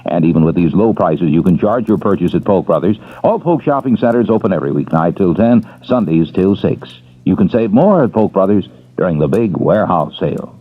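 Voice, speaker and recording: A man reading radio commercials in a brisk, upbeat selling voice. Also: low-pitched, expressive, breathy edge. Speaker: radio ad announcer. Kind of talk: commercial voice-over. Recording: old lo-fi radio broadcast with music.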